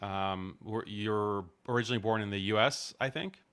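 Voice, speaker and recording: A male podcast host with a bright, ringing tone and a smooth, clear voice. He speaks in measured, deliberate phrases, sprinkling in religious greetings as he introduces his guest and steers the conversation. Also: fairly low pitch. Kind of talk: podcast interview over video call.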